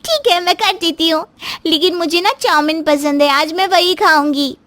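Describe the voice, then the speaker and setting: A woman's voice: extremely high pitch, moderate pace, fairly bright tone. A woman voicing a naive, childlike young woman, an eager and insistent little sister in a moral story cartoon. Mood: eager, innocent.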